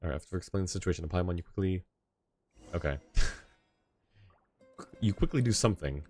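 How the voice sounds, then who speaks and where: A man's voice: sits low, expressive, fairly quick, mellow. A man streaming a game, making rambling jokes with hesitant restarts, with game music behind. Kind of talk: casual gameplay commentary.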